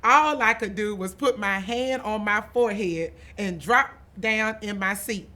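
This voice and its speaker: A woman reading a children's picture book aloud in an expressive storytelling manner, stretching some phrases for emphasis. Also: medium pitch, measured pace. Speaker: author and school administrator reading aloud.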